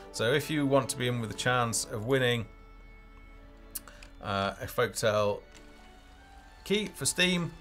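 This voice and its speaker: A man's voice: medium pitch, bright, forceful tone. A man hosting a casual game developer live stream, talking off the cuff in a hesitant, rambling way as he thinks aloud. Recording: music playing behind the voice.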